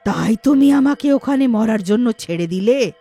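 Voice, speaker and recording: A woman's voice: fairly high pitch, lively intonation, normal speed, dark tone, faint music behind. A woman voicing a wronged elderly mother turned witch ghost in a story, emphatic, reproachful and pleading. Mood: hurt reproach.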